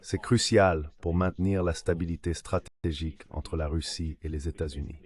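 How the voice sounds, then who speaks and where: A man's synthetic voice reading translated political discussion as a measured voice-over. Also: sits low, fairly even intonation, darkish tone. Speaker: voice-over translator of interview guests. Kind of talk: dubbed political discussion, measured read delivery.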